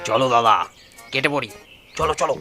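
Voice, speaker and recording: A man's voice: medium pitch, brisk, gravelly, music in the background, close to an everyday voice. A man playing a scheming, jumpy cartoon sidekick with an eager, wheedling manner. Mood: nervous. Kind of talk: children's cartoon voice acting.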